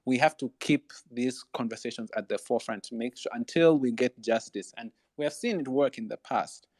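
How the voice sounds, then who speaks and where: A man's voice: mid-range voice, a little fast, a little mellow, no room sound, clean studio sound. A man from Uganda, answering as a panelist in a thoughtful, searching way with frequent restarts.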